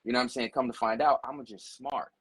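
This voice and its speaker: Husky guy in his forties, talks blunt and loose, swears a lot, easy mid voice. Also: medium pitch, steady tone, fast, dry recording. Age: 40s. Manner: blunt, profane, storytelling. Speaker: guest telling his life story. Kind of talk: casual conversation.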